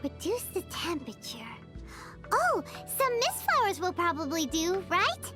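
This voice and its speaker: Tiny squeaky fairy mascot for a game, super high, cheeky, really bouncy intonation. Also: female voice, very animated, measured pace, over loud music, full character voice. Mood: puzzled. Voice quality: squeaky, childlike. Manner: exclamatory, refers to self in third person. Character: tiny chirpy floating fairy companion, childlike and cheeky. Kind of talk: video game voice acting.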